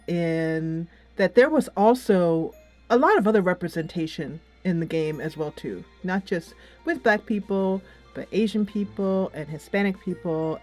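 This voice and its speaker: A woman hosting a casual game review, talking in a chatty, loosely structured, emphatic way. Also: on the low side. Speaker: game reviewer and channel host. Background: music.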